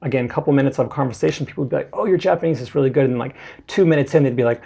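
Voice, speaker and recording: A man's voice: medium pitch, racing, gravelly, warm tone, breathy edge. A young man, a guest and Japanese tutor, explaining pronunciation in a loose, casual way with lots of filler words. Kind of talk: casual conversational interview.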